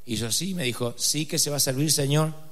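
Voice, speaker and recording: A man's voice: on the high side, a little fast, fairly bright tone. A man with an Argentine accent preaching a sermon, telling a funny personal story with dramatic emphasis. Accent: Argentine Spanish. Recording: reverberant hall.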